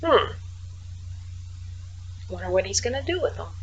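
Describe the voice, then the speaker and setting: Slow, lilting woman reading a storybook. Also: mid-range voice, very animated, highs cut off, a little hiss or hum. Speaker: teacher reading aloud. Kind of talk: expressive children's storybook narration with casual asides.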